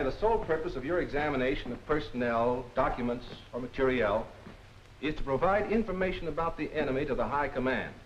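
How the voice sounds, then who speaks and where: On a muffled, lightly hissing old soundtrack, a formal military lecturer played by a man addresses trainees in 1940s film style. His mid-range voice is deliberate and emphatic, broken into careful phrases. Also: medium pitch, lightly acted.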